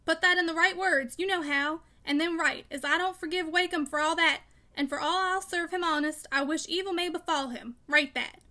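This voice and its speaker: Woman voicing a bitter, forceful old country father. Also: high voice, brisk. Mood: stern, commanding. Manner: peremptory, emphatic, dialect speech. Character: bitter, broken, fierce old father, rustic and stubborn. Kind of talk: audiobook character dialogue.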